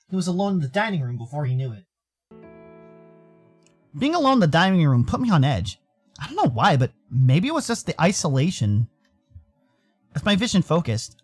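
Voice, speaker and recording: An American man narrates a visual novel in the first person. His voice sits a bit high and moves around a lot in pitch, dramatising the story over a music bed. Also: very animated, fairly quick, pauses often, slightly rough. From Arizona, United States. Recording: music behind the voice.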